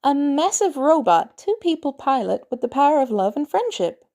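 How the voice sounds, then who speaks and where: Storybook role: eager teen boy, played by a woman, high and lively, wide-eyed, slightly shy. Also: moderate pace. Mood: curious, eager. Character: excitable, eager teenage boy, bright kid.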